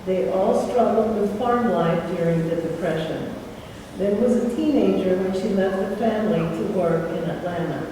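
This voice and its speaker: Woman service leader reading a tribute, measured pace, distant from mic, booming hall. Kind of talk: reading a member's written tribute aloud. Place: large meeting hall indoors. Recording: echoey hall, far from mic, background noise.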